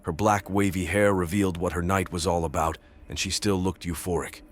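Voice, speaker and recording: A man playing a brooding stalker who narrates an audio drama in deliberate, detached, literary phrases. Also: low voice, fairly even intonation, over music, close to an everyday voice. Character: brooding male stalker narrating his nightly watch. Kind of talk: scripted first-person narration, audio drama.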